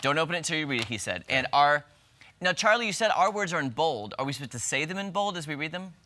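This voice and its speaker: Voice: mid-range voice, expressive, fast talker, sharp tone, a little hiss or hum. A TV show host in playful banter, reading a silly fairy tale aloud with emphasis, music playing behind.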